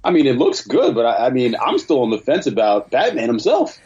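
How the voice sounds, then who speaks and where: A man with a husky voice chatting casually as a podcast guest over a dull-sounding internet call. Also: mid-range voice, sing-song, a little fast, darkish tone. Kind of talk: casual chat. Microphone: Skype call.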